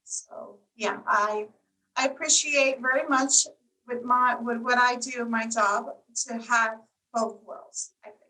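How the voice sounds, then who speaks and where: A woman's voice: a little high, fairly even intonation, slow, sharp tone, far from the mic, low-quality recording. A woman from Costa Rica who owns a tourism marketing agency, answering interview questions in a chatty way with lots of "you know.".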